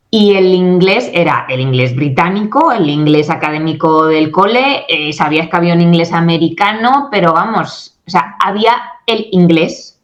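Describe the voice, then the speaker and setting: A woman in her forties from Spain, a guest coach in a radio interview, talking in an animated way and acting out quoted exchanges. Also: lowish voice, lo-fi sound. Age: 40s. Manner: animated, acts out quoted dialogue. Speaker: guest, English communication coach.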